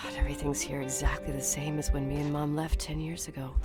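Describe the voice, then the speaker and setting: A woman's voice: low voice, level tone, quick pace, over loud music, close to an everyday voice. An actress playing a sardonic, impatient young American woman in a video game, with a brusque, dry manner. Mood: weary, wary. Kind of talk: naturalistic video game acting.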